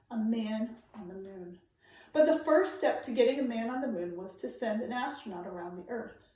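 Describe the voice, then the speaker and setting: A woman reading a children's nonfiction book aloud in a measured, emphatic way, phrasing it for young listeners. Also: on the high side. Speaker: storybook reader. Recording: very echoey room, distant microphone.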